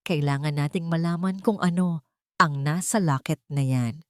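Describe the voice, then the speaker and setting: A woman's voice: sits low, moderate pace, dry recording, natural voice. A woman playing a caring but firm poor young heroine in an audio drama, speaking earnestly.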